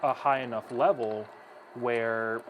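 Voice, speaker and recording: A man's voice: medium pitch, fairly even intonation, slow pace, a little hiss or hum, low-quality recording. A young man who broadcasts hockey, chatting while gaming and then calling the action play-by-play.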